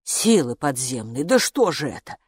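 A woman's voice: a bass voice, big pitch swings, moderate pace, breathy edge, no room sound, clean studio sound, pitched below the performer's own voice, heavily acted. A woman voicing a fretful, doting female gatekeeper creature from underground in a children's audiobook. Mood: alarmed, puzzled. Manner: fretful, doting, repeats words in lament. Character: anxious, motherly green-skinned female underground gatekeeper creature.

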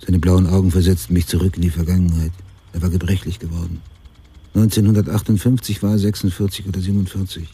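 An actor playing a grave, hard-boiled crime writer, narrating tersely in a radio drama. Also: male voice, sits low, flat delivery, fast, slightly rough, exaggerated voice. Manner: terse, clipped sentences. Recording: loud music behind the voice.

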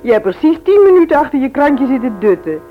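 An actress playing a spirited, stubborn, doting grandmother in a radio play, with brisk teasing retorts. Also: high voice, sing-song, faint music behind. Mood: teasing, firm. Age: older. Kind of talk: old radio play acting. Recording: dull old radio recording.

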